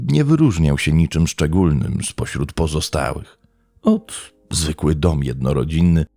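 A man reading scripted true crime narration in a measured, dramatic storytelling manner. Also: sits low, vocal fry, warm tone, slightly rough, no room sound. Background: faint background music.

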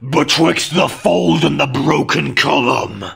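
An ancient monstrous gravelly creature. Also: male voice, full character voice. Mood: ominous. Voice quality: rumbling, gravelly. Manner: loud, slow, ominous chanting. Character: ancient monstrous creature in a pony filly's body. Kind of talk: storybook reading.

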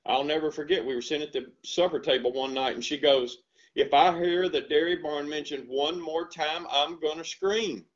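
Older Southern farmer, folksy storyteller, headset call audio, slightly dull and lo-fi. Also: male voice, fairly high pitch, normal speed. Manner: animated storytelling, earnest and folksy. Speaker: dairy farmer and ice cream shop owner. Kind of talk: casual interview over video call. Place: home room with bookshelves. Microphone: headset on video call.

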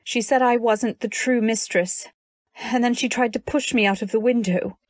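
A woman's voice: on the high side, fairly even intonation, normal speed, dry recording, close to an everyday voice. A woman playing a fiercely loyal, protective wife in a radio drama, speaking earnestly and with dramatic emphasis. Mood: urgent. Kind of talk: old-time radio drama acting with first-person narration.

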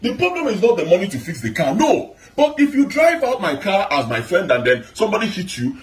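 A man's voice: high-pitched, very animated, brisk. A middle-aged man with a Nigerian accent and a big voice, pressing his point in a heated argument at home, repeating his questions. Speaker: husband in a couple video. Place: indoors at home. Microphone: phone camera in a split-screen video. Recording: echoey, from a distance.

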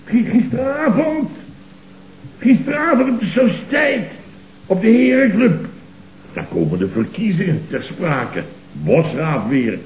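Blustering, excitable Dutch company boss. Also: male voice, high-pitched, unhurried. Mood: pompous, indignant. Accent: Netherlands Dutch. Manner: excitable, stammering, repeats words emphatically. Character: blustering, panicky, self-important male company boss. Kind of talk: 1970s Dutch radio comedy acting. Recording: dull, noisy, roomy old radio recording.

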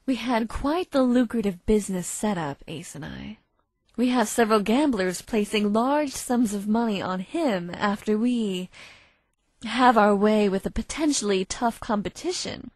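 A woman playing a cold, calculating female unicorn blackmailer in a visual novel fan dub, speaking in a smug, measured, threatening way. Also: mid-range voice, animated, darkish tone, no room sound. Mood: smug, explaining. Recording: faint music behind.

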